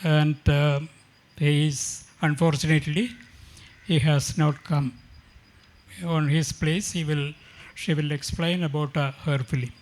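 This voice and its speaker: A man with an Indian accent formally introducing guests as an event moderator, halting and often repeating and rephrasing himself. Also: fairly high pitch, flat delivery.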